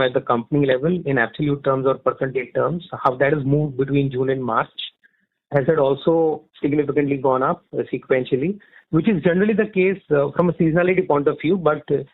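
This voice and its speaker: Male analyst caller, thin phone sound. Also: on the high side. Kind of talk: questioning on an earnings call. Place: phone call. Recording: narrow thin phone line.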